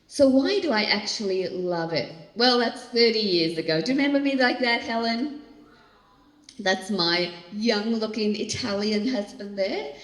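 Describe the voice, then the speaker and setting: An older woman pastor from Australia giving an animated, storytelling church talk on coping with stress. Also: medium pitch, unhurried. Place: large hall. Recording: echoey hall, long reverb.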